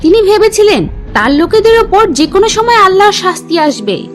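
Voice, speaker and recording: A woman acting as a cartoon teacher narrates religious tales for children. The voice is extremely high and moves animatedly up and down. Music plays underneath the scripted storytelling. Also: big pitch swings, a little fast. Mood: instructive.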